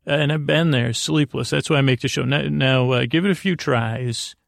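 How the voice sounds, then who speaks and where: Male sleep-podcast host, creaky voice, dry close sound, halting and whimsically meandering. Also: medium pitch, no room sound. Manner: halting, meandering, repeats himself; whimsical, tongue-in-cheek. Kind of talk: rambling improvised sleep podcast monologue.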